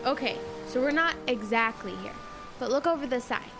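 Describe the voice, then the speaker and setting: A woman's voice: high-pitched, expressive, moderate pace, over music. A young female actor playing a worried, exasperated and responsible girl who pilots a boat in an audio drama. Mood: practical, cautious.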